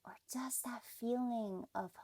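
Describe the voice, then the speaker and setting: Slow, creaky-voiced woman guiding meditation. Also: fairly high pitch, slight background noise. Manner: soothing, gentle, deliberate, with pauses. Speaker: meditation guide. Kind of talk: guided meditation, scripted narration.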